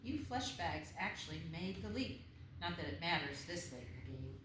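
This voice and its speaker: A woman's voice: lowish voice, sing-song, normal speed. A woman playing a taunting, smug little demon punk girl, mocking and flippant, in a screenplay table read. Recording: very echoey room, clear background noise.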